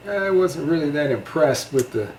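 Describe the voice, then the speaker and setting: A man's voice: fairly high pitch, expressive, normal speed, darkish tone. A man chatting casually and reminiscing as the guest in an interview. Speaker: interviewee, radio hobbyist. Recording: roomy echo, background noise.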